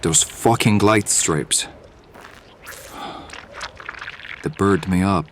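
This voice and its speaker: A man's voice: low voice, measured pace, a bit bright, a little hiss or hum. An actor playing a practical male survivor in an audio drama, terse and plain-spoken. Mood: frustrated.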